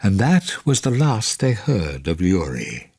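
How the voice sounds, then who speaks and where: A man's voice: low voice, wildly swooping pitch, unhurried, exaggerated voice. A man reading scripted narration for a radio drama. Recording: very noisy recording.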